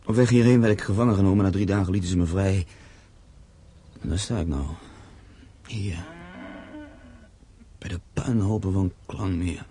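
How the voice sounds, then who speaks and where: Deep, husky, grieving castle owner. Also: male voice, normal speed, pauses often, warm tone. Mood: bitter, sorrowful. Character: proud, grieving castle owner, wistful and conciliatory. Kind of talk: Dutch radio drama acting.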